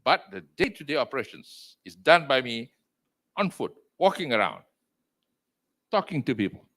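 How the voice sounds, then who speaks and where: Animated older Malaysian man, loud and emphatic. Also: moderate pace. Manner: loud, emphatic, animated, with sudden high exclamations; mixes in Malay words and phrases. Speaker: entrepreneur and executive chairman, interview guest. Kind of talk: interview over video call.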